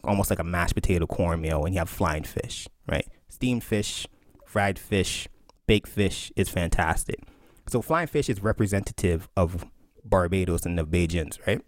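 A man in his thirties, an author answering interview questions in an animated way, laughing often and acting out quotes. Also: lowish voice, dry recording, studio quality. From Canada. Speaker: author and historian.

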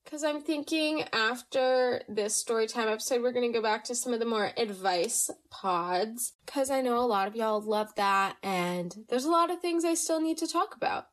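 A woman's voice: a little high, normal speed, few pauses. A young woman hosting a casual storytime podcast, chatty and rambling, sounding a little nasal from allergies. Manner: bubbly, chatty, rambling with fillers. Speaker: podcast host.

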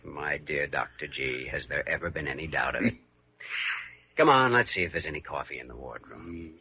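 An actor playing a wry, easygoing hospital doctor with a dry, teasing manner in a 1940s radio drama. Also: male voice, rumbling low, expressive, moderate pace, a little hiss or hum. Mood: amused. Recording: muffled vintage radio recording.

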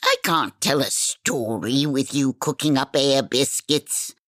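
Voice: medium pitch, takes it slowly, a bit bright, no room sound, studio quality. A woman playing a grumpy, haughty storyteller with a withering, theatrical delivery in a fantasy audio drama. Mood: irritated. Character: grumpy, haughty, sharp-tongued storyteller narrating a fantasy tale.

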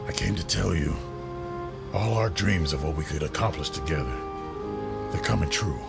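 An actor playing a scarred former district attorney turned crime boss, brooding and bitter, with two sides that argue. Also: male voice, extremely low pitch, animated, normal speed, gravelly, over loud music, full character voice.

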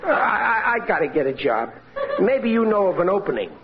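An actor playing a hapless, blustering working-class husband in a 1940s radio comedy, halting and plaintive. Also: male voice, sits high, sing-song. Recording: noisy muffled vintage radio recording.

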